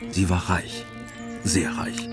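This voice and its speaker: A man giving scripted literary narration for a radio play in a measured, matter-of-fact manner. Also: sits low, flat delivery, over music.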